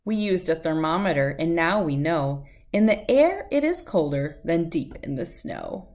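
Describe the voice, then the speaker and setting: A middle-aged woman reads a rhyming children's picture book aloud in a measured, sing-song way. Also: sits low, very animated, darkish tone.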